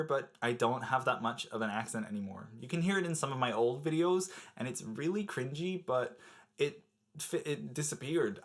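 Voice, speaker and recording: A man's voice: mid-range voice, fairly bright tone. A young man from the Netherlands speaking English with an American accent, his first language Dutch, chatting to camera with lots of fillers. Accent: American-sounding English. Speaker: vlogger. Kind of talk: casual talk to camera.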